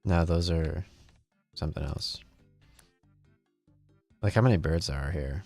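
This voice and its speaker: A man's voice: sits low, slow, a little mellow, dry recording, faint music behind. A man hosting a gaming video, giving casual gameplay commentary and thinking aloud in a rambling way.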